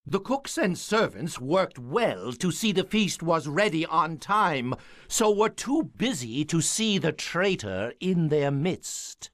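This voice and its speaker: Slow wandering storyteller. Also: male voice, fairly high pitch, big pitch swings, a bit bright, no room sound, studio quality, full character voice. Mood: storytelling. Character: travelling male storyteller. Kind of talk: video game cutscene acting.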